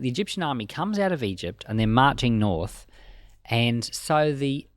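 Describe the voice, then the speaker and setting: A man delivers Bible commentary in a conversational, colloquial style. His mid-pitched voice moves up and down in a lively way, and he slips into dramatized asides. The sound is fairly dry and close. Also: no room sound. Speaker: Bible teacher.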